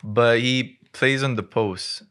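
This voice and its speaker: A young man in his twenties from Hong Kong talks as a podcast guest. His voice is smooth and clear at a middling pitch, and he chats in a relaxed, slangy way. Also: takes it slowly. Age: 20s. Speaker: basketball player, podcast guest. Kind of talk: casual chat. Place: small dim podcast studio indoors. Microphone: close studio mic on boom arm.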